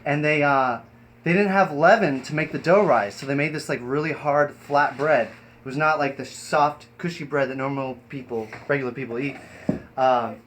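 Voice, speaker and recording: A man's voice: medium pitch, moderate pace, fairly bright tone. A man hosting an improvised live stream, chatting and explaining things in a rambling, hesitant way. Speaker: live stream host and performer. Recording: noisy live stream with ambient sound.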